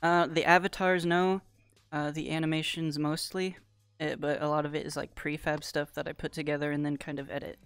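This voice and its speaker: A streamer chatting casually and reading viewer questions aloud, then answering offhandedly in a halting way. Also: mid-range voice, little pitch movement, fairly bright tone, smooth, no echo. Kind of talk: casual livestream chat, answering viewer comments. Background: background music.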